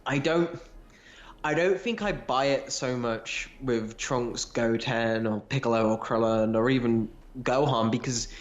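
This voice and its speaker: A man's voice: medium pitch, dull audio. A man in casual analytical discussion as a podcast co-host, rambling a little as he thinks aloud.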